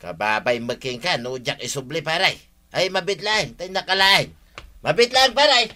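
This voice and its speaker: Radio comedy moocher: Ilocano, evasive excuse-maker, extremely bright, strident tone pitched high. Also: male voice, expressive, normal speed. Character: lazy, evasive neighbour man who borrows everything.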